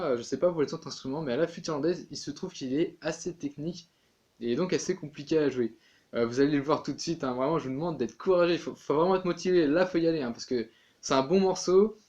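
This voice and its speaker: A man's voice: on the high side, darkish tone. A man giving a casual tin whistle tutorial, chatty, with many fillers and restarts.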